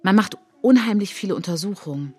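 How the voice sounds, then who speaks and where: An actress narrating as a reflective, resolute woman looking back on her childhood in a German radio drama, in measured short phrases. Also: mid-range voice, animated, mellow, breathy edge. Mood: reflective, sober. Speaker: narrator of autobiographical monologue. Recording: music behind the voice.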